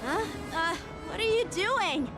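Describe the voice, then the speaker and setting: A woman's voice: very high voice, animated, measured pace, full character voice. A woman voicing a friendly, eager young boy in an anime-style fantasy game. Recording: clear game music over stream.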